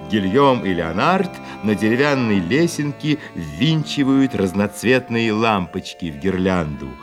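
A man narrating an audio play as a storyteller, setting each scene in scripted dramatic prose. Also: mid-range voice. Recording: studio narration over music.

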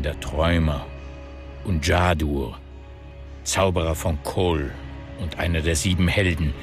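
An actor playing a grave, learned wizard mentor in a German radio drama, speaking in a solemn, measured, explanatory way. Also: male voice, extremely low pitch, exaggerated voice. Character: grave, learned male wizard mentor revealing ancient secrets. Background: music.

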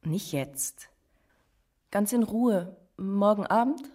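A woman's voice: medium pitch, expressive, takes it slowly, a little mellow, slightly rough, distant mic. A woman playing a friendly university colleague in casual radio drama dialogue. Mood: casual, tentative.

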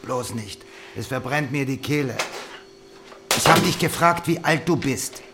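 A man playing a gruff, short-tempered wartime soldier in an audio drama, stern and barking. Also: medium pitch, measured pace, heavy background noise, close to an everyday voice. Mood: stern, interrogating. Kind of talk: German audio drama acting.

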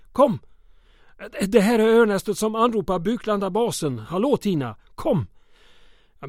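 An actor playing a nervous, harried headquarters radio operator in an old-time radio drama, calling insistently and fretfully. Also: male voice, high-pitched, a little mellow, dry recording, studio quality, close to an everyday voice.

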